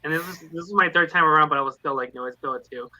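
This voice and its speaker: A man's voice: a little high, very fast, pushed and bright, no room sound, lo-fi sound. A man in a casual book discussion, rambling along and voicing quotes as he tells anecdotes. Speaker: read-along panelist. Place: online live video chat.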